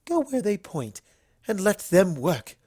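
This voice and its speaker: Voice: medium pitch, sing-song, slow, warm tone, slightly rough, voice pushed up, heavily acted. A narrator voicing a refined, eager-to-please female unicorn in a storybook reading, with prim, gushing politeness. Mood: breezy, assured. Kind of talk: storybook character voice.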